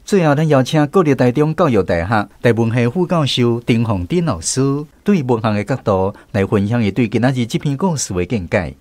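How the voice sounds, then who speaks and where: A man's voice: mid-range voice, expressive, a little fast, hardly any pauses, darkish tone. A man with a Taiwanese accent presenting a radio program from a script as its host. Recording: dry studio sound, guitar music. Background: guitar music.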